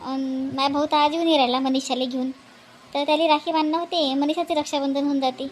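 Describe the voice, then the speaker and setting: A woman plays a homely, chatty village housewife from a family cartoon comedy. Her voice sits very high for a woman. A faint noise runs behind the recording. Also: fairly even intonation, fast, natural voice. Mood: gossipy. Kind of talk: animated family comedy dialogue.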